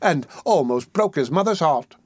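A man's voice: fairly high pitch, animated, normal speed, slightly rough, no echo. A man voicing a hysterical, scheming young woman who laments and gushes with feigned distress in a storybook. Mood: accusing. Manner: gushing, melodramatic lamenting.

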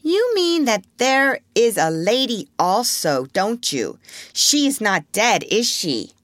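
An excitable little boy , as a woman acts him out, pitched high and swooping with eagerness. He exclaims each phrase in a wide-eyed, childlike storybook manner. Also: takes it slowly, no echo, studio quality, heavily acted. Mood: eager.

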